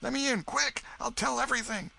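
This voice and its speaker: A man voicing a scared vagabond boy who pleads in a high, breathless voice. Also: lively intonation, moderate pace, bright, forceful tone, full character voice. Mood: frantic.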